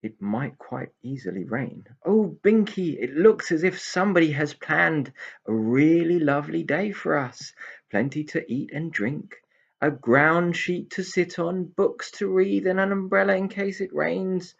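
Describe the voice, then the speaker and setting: A man voicing an indignant but easily delighted young male pixie in a children's storybook, creaky and emphatic. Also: a little high, a little slow, highs cut off, pitched above the performer's own voice. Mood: joyful. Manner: exclamatory, emphatic.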